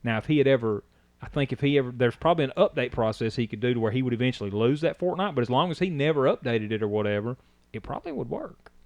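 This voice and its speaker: Fast, lively man telling a story. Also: mid-range voice, dry recording. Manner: rambling storyteller, chatty and animated. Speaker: podcast co-host. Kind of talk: casual chat.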